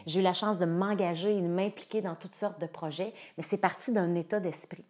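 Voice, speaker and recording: A woman's voice: on the low side, steady tone, brisk, darkish tone. A woman in her thirties with a Quebec French accent, a coach sharing personal stories and presenting a course to viewers. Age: 30s.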